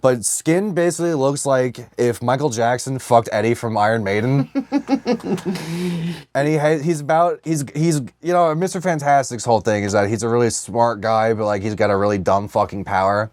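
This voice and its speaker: A man's voice: medium pitch, lively intonation, normal speed, darkish tone. A man co-hosting a podcast, chatting casually and comedically about films and comics with crude, sweary banter. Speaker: podcast co-host.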